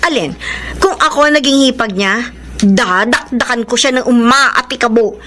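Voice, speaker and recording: An actress with a Filipino accent playing a nosy, outspoken friend in a radio drama, animated and teasing. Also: female voice, a little high, normal speed, slight background noise. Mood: teasing, judgmental. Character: nosy, outspoken, sassy woman friend of housewife.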